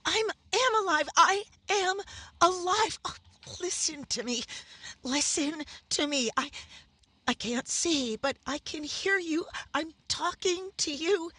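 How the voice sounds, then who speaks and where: A woman playing a terrified young woman mistaken for dead, pleading desperately and haltingly in radio horror drama. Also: very high voice, expressive, pushed and bright, full character voice. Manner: slow, desperate, halting repetition.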